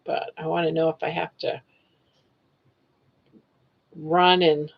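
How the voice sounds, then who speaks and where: A woman's voice: low voice, flat delivery, takes it slowly, vocal fry, muffled recording. A woman in her sixties hosting a casual live stream, chatting and joking and talking sweetly to her pets. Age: 60s. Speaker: livestream host.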